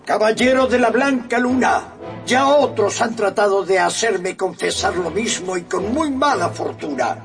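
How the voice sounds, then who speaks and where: A man's voice: high-pitched, measured pace, gravelly, over music. A man acting in a radio drama as a proud, deluded old knight-errant with a grandiloquent, declamatory manner. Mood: defiant.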